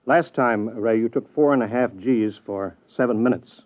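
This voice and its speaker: An actor playing a businesslike space program chief with dry humor, in a 1950s radio drama. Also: male voice, medium pitch, moderate pace, dry recording, narrow, tinny audio, lightly acted. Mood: brisk. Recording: dry telephone-thin radio broadcast.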